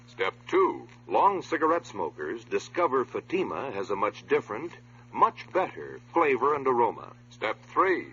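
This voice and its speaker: A male radio announcer with a very animated, emphatic delivery and a mid-pitched voice. He reads a scripted 1950s commercial, muffled on an old recording. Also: a little hiss or hum. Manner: emphatic, polished sales delivery.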